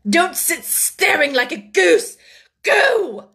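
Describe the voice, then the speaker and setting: Storybook villainess: cruel, imperious schoolmistress played by a female narrator, high, biting, swooping delivery. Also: big pitch swings, slow, pushed and bright, exaggerated voice. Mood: fierce, bitter. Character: furious, cruel, greedy schoolmistress, a bitter woman. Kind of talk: dramatised storybook reading.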